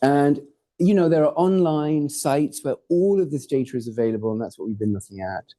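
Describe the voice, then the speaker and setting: A man lectures to a hall audience in a very dark, mellow voice. His delivery is loose and conversational, full of asides, jokes and the occasional curse. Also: on the high side, brisk. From born in Hawaii, raised in Portugal and England. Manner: informal, digressive, jokey, swears for effect. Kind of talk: academic talk with slides.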